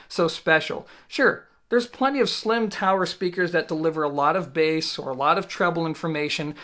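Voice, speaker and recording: A man in his thirties giving a conversational product review to camera, explaining things in a measured way with emphatic pauses. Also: high voice, a bit bright. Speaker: audio gear reviewer and host. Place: small indoor home listening room.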